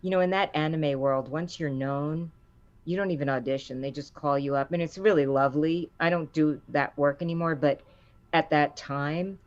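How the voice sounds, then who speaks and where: A middle-aged woman with a low voice, a voice actor chatting in an interview and acting out the quotes in her stories. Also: normal speed, lo-fi sound. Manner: animated storyteller, acts out quotes with rising pitch. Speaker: voice actor guest. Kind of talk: casual interview chat.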